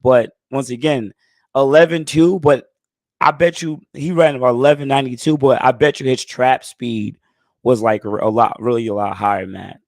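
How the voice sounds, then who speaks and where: A man in his thirties hosting a casual live stream Q&A, talking in a laid-back, conversational way, his voice a bit hoarse as he gets it back. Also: medium pitch, steady tone, normal speed, no room sound, studio quality. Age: 30s. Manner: laid-back, conversational, frequent "you know what I'm saying". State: hoarse, recovering lost voice. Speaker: channel host answering live chat.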